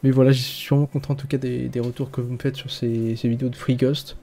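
Young guy talking over his game footage, laid back, mellow tone. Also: mid-range voice, quick pace. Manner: relaxed, chatty, with fillers. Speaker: gaming video host. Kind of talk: casual gameplay commentary. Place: home gaming setup.

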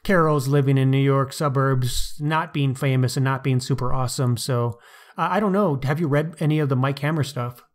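A man's voice: mid-range voice, fairly quick. A man hosting a podcast, discussing books in an informal, opinionated way with slangy asides. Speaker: podcast host. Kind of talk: casual book discussion.

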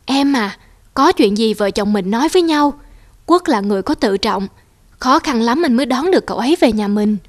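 A woman's voice: a little high, expressive, a little fast, no echo, studio quality. A woman voicing a loyal, earnest young householder in a cartoon folk tale, with an emotive, pleading delivery. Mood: conciliatory. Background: music.

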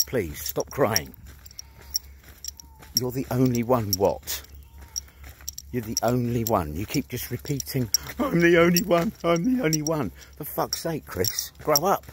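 A man, doing a brash, sweary, mocking one-sided prank phone call outdoors, filmed on a phone held at arm's length. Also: mid-range voice. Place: outdoors among trees. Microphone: phone held at arm's length, headphones on. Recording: noisy outdoor phone recording.

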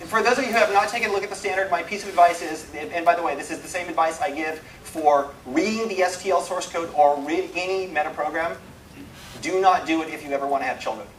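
A man giving an informal technical talk, emphatic and wryly self-deprecating, with dramatic pauses for effect. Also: fairly high pitch. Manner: animated, self-deprecating, wry humour. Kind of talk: informal live lecture to a programmer audience. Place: indoor talk room. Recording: roomy, distant mic, some background noise.

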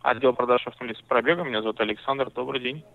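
Man, car salesman, gravelly voice, phone line sound, businesslike, patient. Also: mid-range voice, racing. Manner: patient, businesslike, mildly argumentative.

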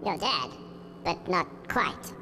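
A man's voice: high-pitched, animated, slow, pushed and bright, a little hiss or hum, full character voice. A man voicing a short, put-upon Grim Reaper in a video game, British, irritable and sardonic as he grumbles and swears. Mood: weary, exasperated. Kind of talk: video game cutscene dialogue.

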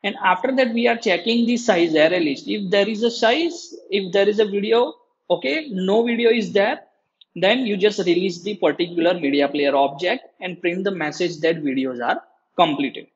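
A male lecturer walks through a tutorial in a halting, repetitive way. His voice sits high and sounds husky. The recording is dull and lo-fi. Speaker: programming lecturer. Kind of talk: screen-recorded tutorial explanation.

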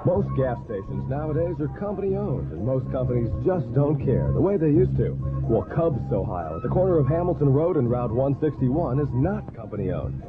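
A man's voice: mid-range voice, very animated, moderate pace, loud music behind the voice. A man reading a scripted local commercial on an old radio broadcast. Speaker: radio advertising announcer. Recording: tinny 1970s AM radio broadcast.

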